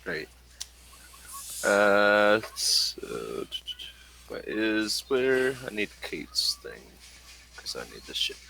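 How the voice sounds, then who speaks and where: Male game master, lowish gravelly voice, slow, casual table talk. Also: very animated, sharp tone, slight background noise, low-quality recording.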